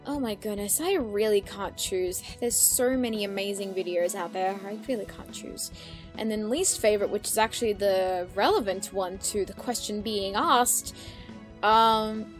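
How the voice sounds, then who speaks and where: A woman in a casual solo question-and-answer chat, speaking expressively with playful exasperation as a YouTuber. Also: mid-range voice. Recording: background music behind the voice. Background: music.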